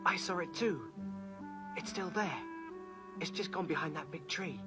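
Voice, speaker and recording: A man's voice: on the high side, expressive, unhurried. A young actor playing a sensible, protective eldest brother in his teens in a children's fantasy audio drama, earnest, polite and decisive. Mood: alert, decisive. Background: music.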